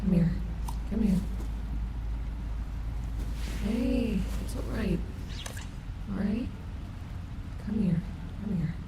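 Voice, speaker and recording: A woman voicing a protective, excitable female animatronic wolf in an informal, affectionate audio roleplay. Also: extremely slow, long pauses, very noisy. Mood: soothing. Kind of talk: ASMR audio roleplay acting. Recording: echoey, with loud ambient sound effects. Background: loud ambience and rumbling effects.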